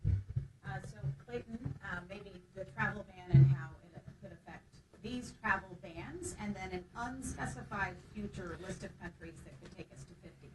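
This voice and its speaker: A woman's voice: medium pitch, moderate pace, background noise. Distant woman moderator in an echoey room. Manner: brief, hesitant handoffs. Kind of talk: moderating questions.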